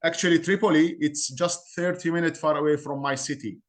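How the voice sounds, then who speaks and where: A man from Syria with an Arabic accent, a community guest speaking earnestly in halting English and repeating words as he searches for them. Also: fairly high pitch, fairly even intonation. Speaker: guest, Assyrian community member. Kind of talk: interview on a call-in podcast.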